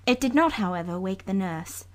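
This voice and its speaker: Female narrator, classic novel audiobook: medium pitch, composed, sombre, scripted storytelling delivery. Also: animated, moderate pace.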